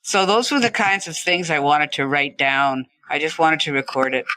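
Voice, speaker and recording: Woman guest chatting on an interview, bright clear-cut tone, tells little stories, really dry sound. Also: low-pitched, moderate pace, dry recording, poor audio. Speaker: interview guest, stroke survivor. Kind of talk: conversational personal storytelling.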